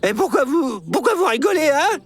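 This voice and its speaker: Squeaky, swooping, paranoid cartoon digger dinosaur. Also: female voice, big pitch swings, brisk, over loud music. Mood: alarmed, indignant. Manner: exclamatory, indignant, stammering questions. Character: excitable paranoid digger truck-dinosaur, stubborn alarmist about aliens. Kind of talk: animated children's series dub.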